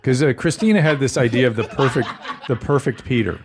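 Male podcast host, mid-pitched, frank and jokey, casual chat. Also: background noise. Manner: joking, frank, fast asides with laughs.

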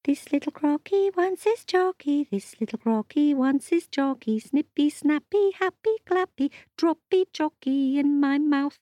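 Crocky Wocky, a squeaky greedy little crocodile, as a woman puts him on. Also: very animated, measured pace, gravelly, no room sound, exaggerated voice. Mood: sing-song. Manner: squeaky, sing-song, demanding. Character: greedy cheeky little male crocodile, chocolate-mad.

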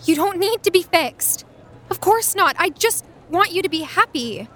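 A woman playing a tender, guilt-ridden young fantasy adventurer with a halting, anxious, caring delivery, in an audio drama. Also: extremely high pitch, expressive, bright, forceful tone, heavily acted. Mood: apologetic. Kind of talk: audio fiction drama acting.

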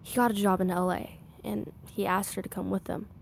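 An actress playing a sulky, guarded and stubborn young daughter in a naturalistic audio drama. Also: female voice, mid-range voice, fairly even intonation, brisk, gravelly, a little hiss or hum, lightly acted. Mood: sulky, hurt.

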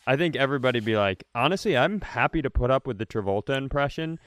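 A man co-hosting a comedy podcast, riffing quickly in casual banter with halting restarts. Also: mid-range voice, animated, fairly bright tone, no echo.

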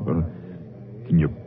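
An actor playing a defiant, blustering cornered killer in a 1950s radio western. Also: male voice. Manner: scoffing, blustering. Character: defiant, scoffing male villain, cornered killer. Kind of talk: 1950s radio drama acting. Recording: thin, tinny old radio recording.

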